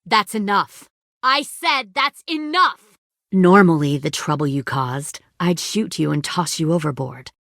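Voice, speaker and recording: A woman's voice: on the low side, animated, unhurried, fairly bright tone, no echo, studio quality. A woman playing a cold, menacing middle-aged commander of armed survivors in a dramatic video game. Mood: tense, commanding, then coolly threatening.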